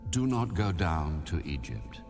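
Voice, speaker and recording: A man voicing a solemn, commanding God in a dramatized audio Bible, speaking slowly and deliberately with a low, weighty delivery. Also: big pitch swings, a little mellow, exaggerated voice. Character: God, male, solemn, commanding, promising blessing. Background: music.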